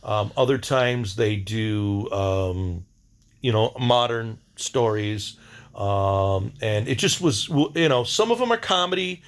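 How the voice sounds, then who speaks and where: A man hosting a casual video diary, rambling in a blunt, wry way and often halting to search for words. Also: on the low side.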